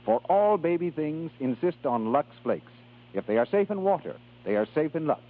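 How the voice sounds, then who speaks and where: A tinny 1930s radio recording features a male commercial announcer. His scripted delivery is polished and emphatic, with lively intonation and a husky edge to the voice. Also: on the high side, moderate pace.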